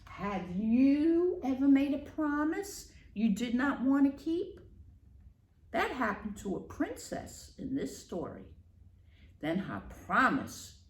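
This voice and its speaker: Casting: woman, children's storyteller, highish voice, lively swooping pitch, teacherly, roomy echo. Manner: teacherly, asks questions and pauses for answers. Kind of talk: read-aloud storytime for children.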